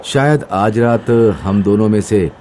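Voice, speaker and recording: An actor playing a young male train passenger in a Hindi animated horror story, nervous and expressive. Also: on the low side, measured pace, a little hiss or hum, lightly acted. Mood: friendly, curious. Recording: music under the dialogue. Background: music.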